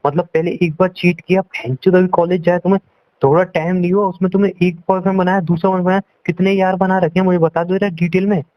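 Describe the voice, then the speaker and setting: A dull, lo-fi phone recording of a young man in a heated argument. His voice sits fairly high, and he scolds and accuses, circling back over the same points. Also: flat delivery, fast, no echo. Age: young adult. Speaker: boyfriend on a phone call. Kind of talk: heated relationship argument in casual Hindi-English talk. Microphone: phone call.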